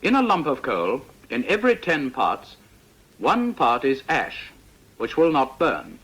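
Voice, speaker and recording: A British man reading a scripted instructional narration for a vintage training film, in a precise, measured, didactic manner. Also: medium pitch, wildly swooping pitch, a bit bright, slightly rough, poor audio.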